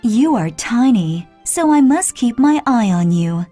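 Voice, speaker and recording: Woman doing a gentle storybook mom, soft mellow tone, slow and affectionate, a bit high. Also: lively intonation, faint music behind. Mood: protective, fond. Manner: tender, affectionate. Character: doting, gentle mother of a tiny girl. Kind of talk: children's storybook character voice.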